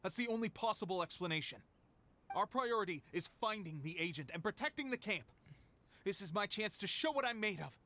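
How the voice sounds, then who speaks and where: An actor voicing an eager, proud young soldier in a video game, speaking in an earnest, declamatory way. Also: male voice, sits high, animated, a little fast, bright, forceful tone. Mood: serious, determined.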